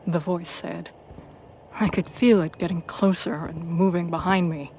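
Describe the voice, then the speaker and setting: A woman reading a first-person story as a dramatic narrator, with intense pauses and hushed, breathy phrases. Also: lowish voice, fairly even intonation, slight background noise, poor audio. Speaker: narrator of a fan fiction audio story.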